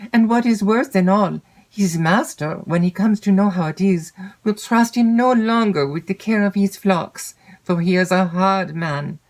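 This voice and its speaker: A woman's voice: medium pitch, a little mellow, voice pushed up, lightly acted. A woman narrator voicing a distressed young shepherd's wife in an audiobook, lamenting simply and earnestly. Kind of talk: audiobook character voice.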